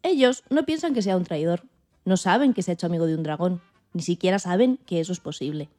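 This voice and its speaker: Female podcast narrator, fairly low and soft-toned, scripted storytelling, light music underneath. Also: animated, fast talker. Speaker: podcast host.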